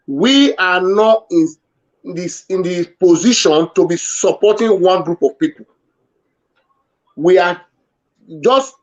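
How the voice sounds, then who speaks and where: A Nigerian man making his case forcefully in a heated live town hall debate, repeating his points. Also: on the high side, lo-fi sound. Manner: emphatic, argumentative, repeats points.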